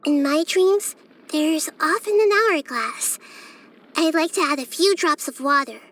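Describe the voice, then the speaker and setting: A woman voicing a childlike girl god of wisdom for a video game, gentle, curious and thoughtful, speaking softly and carefully. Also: high voice, unhurried, low-quality recording. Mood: pensive, wistful. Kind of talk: scripted video game character voice lines.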